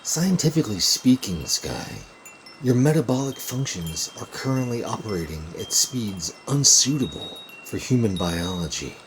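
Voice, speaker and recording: A man's voice: on the low side, very animated, moderate pace, noisy background. A man playing a pedantic, unflappable scientist in a sci-fi audio sitcom, with pompous, precise, clinical phrasing. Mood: clinical fascination.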